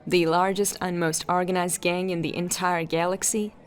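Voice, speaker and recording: A woman's voice: on the low side, little pitch movement, moderate pace, a little hiss or hum, close to an everyday voice. A woman playing a determined, dry-witted police detective in a sci-fi audio drama, with terse, resolute delivery. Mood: dry, probing.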